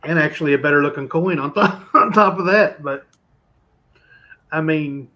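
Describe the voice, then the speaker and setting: A man hosting a relaxed online chat, his voice sitting a little high. He talks in quick, animated bursts. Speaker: livestream host. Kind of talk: casual chat about coins.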